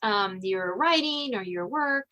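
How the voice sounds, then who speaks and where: Bright-voiced woman hosting a podcast. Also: mid-range voice, expressive, slow. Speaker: podcast host interviewing an author. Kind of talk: casual interview chat.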